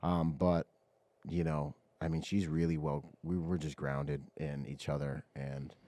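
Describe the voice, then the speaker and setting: A man's voice: rumbling low, little pitch movement, unhurried, warm tone, no echo. A man with an American accent telling personal stories casually as a podcast guest, in laid-back, slangy speech. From Bahamas and Washington State, US. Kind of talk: casual conversational storytelling.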